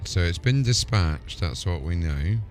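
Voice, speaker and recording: A man's voice: deep voice, lively intonation, a little slow. A man doing casual live commentary as a game streamer.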